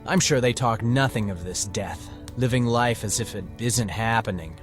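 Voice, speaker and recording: A man's voice: lowish voice, soft background music, close to an everyday voice. An actor playing a despairing, bitter young male farmer, brooding and heavy in an audio drama. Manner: slow, brooding, heavy delivery.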